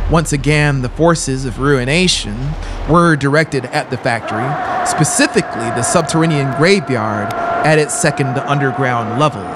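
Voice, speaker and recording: A man delivers dramatic horror narration at a medium pitch, with deliberate, emphatic phrasing. He is close on a studio microphone. A clear bed of ambient synthesizer noise runs behind his voice. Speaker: audiobook narrator. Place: small brick-walled studio room indoors. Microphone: close broadcast mic on a boom arm.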